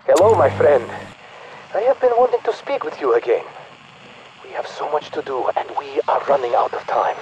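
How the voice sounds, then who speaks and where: An actor playing a sly, coaxing conspirator in a game's radio transmission, with an insinuating, deliberate manner. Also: male voice, on the high side, big pitch swings, darkish tone. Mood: friendly, urgent. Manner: smooth-talking, insinuating, deliberate. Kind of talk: dramatic video game radio transmission acting. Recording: dull, slightly noisy radio transmission.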